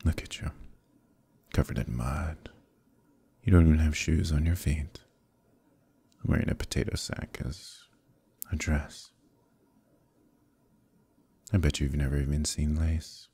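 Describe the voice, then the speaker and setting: A man playing a smugly menacing evil knight in an ASMR roleplay, speaking in a slow, intimate, condescending monologue with softly threatening delivery. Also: steady tone, no room sound, heavily acted. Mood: disdainful. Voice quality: very deep, gravelly, husky.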